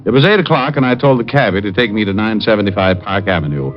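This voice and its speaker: An actor playing a cool, wisecracking private detective, dry and hardboiled, in old radio drama style. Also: male voice, lowish voice, quick pace, natural voice. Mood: wry narrating. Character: wisecracking, cool male private detective narrating his case. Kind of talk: 1940s-50s radio drama narration and acting. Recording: dull vintage radio recording, faint music.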